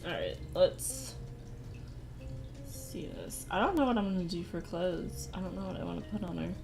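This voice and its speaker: A young woman chatting casually on a livestream, soft-spoken and rambling, often laughing. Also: lowish voice, normal speed, gravelly. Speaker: streamer. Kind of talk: casual livestream chat while drawing. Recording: faint music behind. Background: faint background music.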